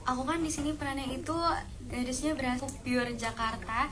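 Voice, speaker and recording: A young actress chatting casually in a press Q&A at a film premiere and slipping in English phrases. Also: female voice, sits high, a little fast, a crowd in the background. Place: premiere event hall with audience.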